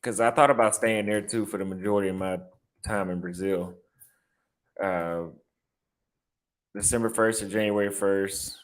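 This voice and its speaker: Casual vlogger, young man with a Texas accent, lowish voice, loose and rambling delivery. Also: steady tone, moderate pace, long pauses. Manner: loose, rambling, reacting aloud with repeated phrases. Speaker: vlogger and barber. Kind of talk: casual vlog, browsing apartment listings.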